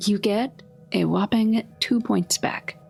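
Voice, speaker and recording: A woman's voice: mid-range voice, slow, gravelly, darkish tone, husky, faint music behind. A woman playing a tabletop game, talking casually in character with a blunt, wry, informal manner.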